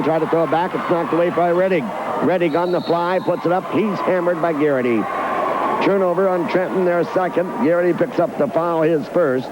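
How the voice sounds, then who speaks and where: A man's voice: on the high side, heavy background noise. A man doing live radio play-by-play of a basketball game in clipped, telegraphic phrases, on a local 1990s broadcast. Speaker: radio play-by-play sports announcer. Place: indoor gymnasium fieldhouse.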